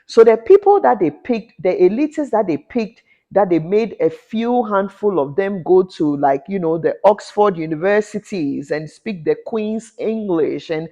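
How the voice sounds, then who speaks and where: A woman's voice: expressive, unhurried, dark tone, lo-fi sound. A woman from Ghana, a fashion designer, giving an impassioned commentary vlog that often rises into a rant. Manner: impassioned, ranting, rising in pitch when indignant. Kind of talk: talking-head commentary vlog.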